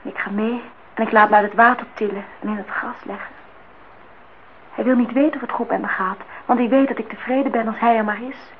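High-voiced, earnest young woman played by an actress. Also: steady tone, fairly quick, lightly acted. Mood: earnest, tender. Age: young adult. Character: defiant, idealistic young female student in love. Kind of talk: Dutch radio play acting. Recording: dull, noisy old radio recording.

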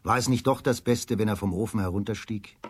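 A husky-voiced man narrating a scripted children's story as a radio play narrator. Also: on the low side, brisk, a little hiss or hum.

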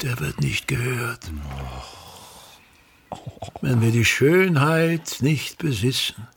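Creaky, pompous old stage tyrant. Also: male voice, fairly high pitch, a little hiss or hum, heavily acted. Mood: declaiming. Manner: declamatory, pompous, ranting and commanding. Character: tyrannical, vain old touring actor and family patriarch. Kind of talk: theatrical radio drama monologue.

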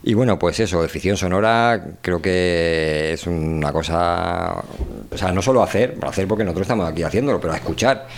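A man with a Spain accent and a fairly low voice. He hosts a casual radio talk, rambling informally from one thought to the next. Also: fairly quick. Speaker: radio show host. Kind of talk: casual conversational radio chat.

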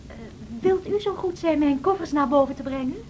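An actress playing a naive, anxious young gentlewoman in a radio drama, with a polite, earnest, hesitant manner. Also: sits high, a little fast, a little hiss or hum, close to an everyday voice.

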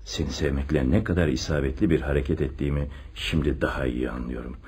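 An actor playing a confident police chief inspector in a radio play, with an expressive, deliberate theatrical delivery. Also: male voice, a bass voice, slight background noise. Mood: tender, amorous. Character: male police chief inspector, secretly in love, confident theorist. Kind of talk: Turkish radio theatre acting.

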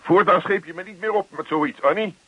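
An actor playing a grumpy, weary middle-aged husband in a naturalistic radio play, grumbling and sarcastic. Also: male voice, sits high, fairly quick, pushed and bright, breathy edge, dry recording, heavily acted. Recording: dull, dry radio play recording.

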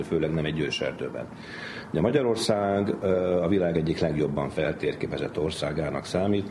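Very deep-voiced man explaining, noisy background. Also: a little mellow. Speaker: expert interviewee. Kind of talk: explanatory interview talk. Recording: noticeable background noise and ambience.

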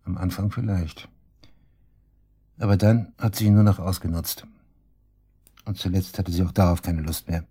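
Casting: cocky shady suspect, dark low husky voice, taunting and coarse, radio play. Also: male voice, level tone, normal speed, pauses often. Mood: cynical, musing. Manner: taunting, cynical, coarse colloquial talk. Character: cocky, sneering male murder suspect, shady club worker. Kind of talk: German radio drama acting, interrogation dialogue.

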